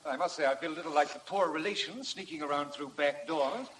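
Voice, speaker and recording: An actor playing an insolent, flippant cad in a mocking, mannered 1950s radio drama style. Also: male voice, on the high side, brisk, fairly bright tone, a little hiss or hum, natural voice, low-quality recording. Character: breezy, insolent, smirking cad of a cousin.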